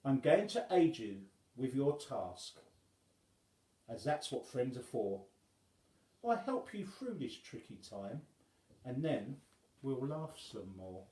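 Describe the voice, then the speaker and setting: A man's voice: mid-range voice, very animated, slow pace, long pauses, warm tone, roomy sound. A man voicing a kind, loyal giant female monster in a storybook tale. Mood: reassuring.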